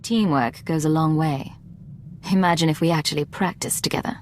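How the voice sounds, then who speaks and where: Female agent lead played by an actress, game dialogue: cool, measured, dry, on the lower side, slight background noise. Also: close to an everyday voice. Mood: composed. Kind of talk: video game protagonist dialogue acting.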